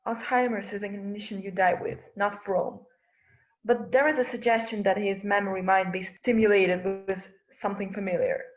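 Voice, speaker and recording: A calm care-home woman, acted by a woman at a table read. She explains in a measured way at a middling pitch. The sound is a little muffled. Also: natural voice. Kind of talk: amateur script table read. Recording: slightly muffled, lo-fi.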